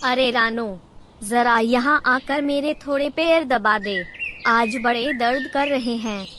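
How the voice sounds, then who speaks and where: Woman playing a high-voiced nagging old mother-in-law bird. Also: noisy background. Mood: weary, irritable. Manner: complaining, taunting, drawn-out dramatic delivery. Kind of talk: Hindi cartoon character acting.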